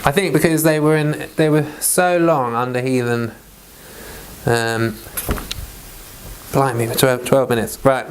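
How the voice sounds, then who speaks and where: A man's voice: mid-range voice, fairly even intonation, measured pace, pauses often, a little hiss or hum. A British man reading scripture aloud and explaining it informally, in a rambling, self-interrupting way. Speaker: Bible reader and teacher.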